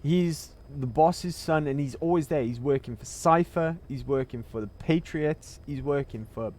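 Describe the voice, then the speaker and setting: A man's voice: fairly high pitch, normal speed, darkish tone. A man giving casual livestream game commentary, emphatic and repeating himself as he thinks aloud. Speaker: game streamer.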